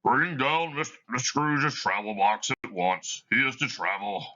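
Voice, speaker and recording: A man's voice: mid-range voice, expressive, unhurried, bright, forceful tone, dull audio, voice pushed up. A man reading aloud as a mean, terrible male schoolmaster barking orders. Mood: stern.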